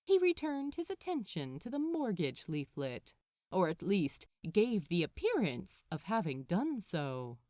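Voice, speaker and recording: A woman reading a story aloud as an expressive scripted narrator. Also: mid-range voice, a little slow, dry recording, clean studio sound. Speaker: fan fiction podfic narrator.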